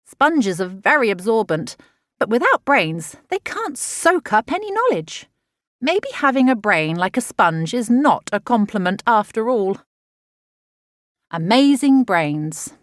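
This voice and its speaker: A woman reading a children's nonfiction audiobook in an expressive, measured, explanatory way. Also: fairly high pitch, no echo, studio quality. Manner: clear, measured, expressive teaching delivery for children. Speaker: author narrating own audiobook.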